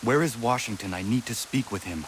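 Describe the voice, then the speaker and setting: A man's voice: mid-range voice, brisk, a little hiss or hum, natural voice, low-quality recording. A man playing a terse young warrior. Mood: grave. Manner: terse, solemn. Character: stern young male warrior, grave and terse.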